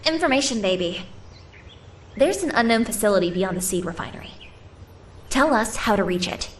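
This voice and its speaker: A woman's voice: mid-range voice, animated, normal speed, close to an everyday voice. An actress playing a tough, confident female operative in a video game, speaking bluntly and assertively. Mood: brash, insistent. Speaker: voice actor in a game cutscene. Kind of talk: dramatic video game acting. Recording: clear background ambient noise.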